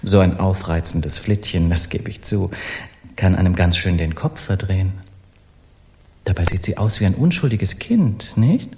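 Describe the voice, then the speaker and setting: An actor playing a brazen, eloquent Parisian artist in a German radio crime play, theatrical and mocking in manner. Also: male voice, low-pitched, unhurried, a little mellow. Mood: mocking, insinuating.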